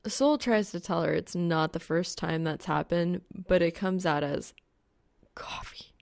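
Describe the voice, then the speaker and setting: Creaky-voiced woman narrating a story. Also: on the low side, measured pace, darkish tone. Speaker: audiobook narrator. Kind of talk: expressive scripted fan fiction narration.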